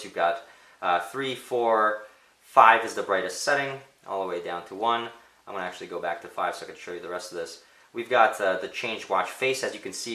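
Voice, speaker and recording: A man's voice: fairly low pitch, bright, forceful tone. A man, a native Polish speaker, giving a casual unscripted product demonstration as a reviewer, thinking aloud in a conversational way, in a roomy indoor space. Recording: roomy, echoing indoor sound.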